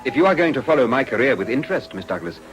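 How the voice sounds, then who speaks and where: An actor playing an earnest, gallant politician with an educated English accent, speaking formally and courteously in a period radio drama. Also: male voice, mid-range voice, big pitch swings, rapid-fire, faint music behind, natural voice, rough recording.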